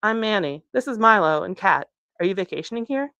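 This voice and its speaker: A woman's voice: mid-range voice, lively intonation, fairly quick, vocal fry, a bit bright, no echo. A woman reading a novel aloud, voicing a good-natured, polite teenage boy. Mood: friendly. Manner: easygoing, kindly, polite. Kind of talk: acted dialogue in a novel reading.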